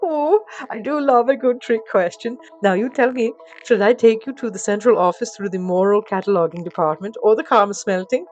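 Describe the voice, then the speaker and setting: A woman's voice: mid-range voice, a little fast, hardly any pauses, a little mellow, dull audio, lightly acted. A woman voicing a giddy, eager, prim and chirpy male North Pole elf guide in a story. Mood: delighted, playful. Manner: prim, chirpy, turns imperious.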